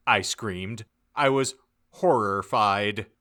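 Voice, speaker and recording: A man giving a mock-dramatic, theatrical narration of a comedic audiobook, with deliberate emphasis. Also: lowish voice, pushed and bright. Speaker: podcast narrator.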